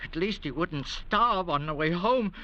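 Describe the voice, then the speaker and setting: A man's voice: high-pitched, animated, measured pace, sharp tone, highs cut off, a little hiss or hum, full character voice. An actor playing a frightened, suspicious man stranded on a raft, in 1940s radio acting style. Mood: uneasy.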